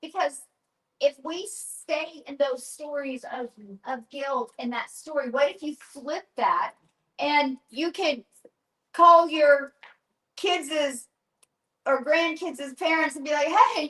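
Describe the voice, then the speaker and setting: A woman coaching a live workshop group, encouraging people with quick affirmations. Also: high voice, a bit bright, echoey room, poor audio.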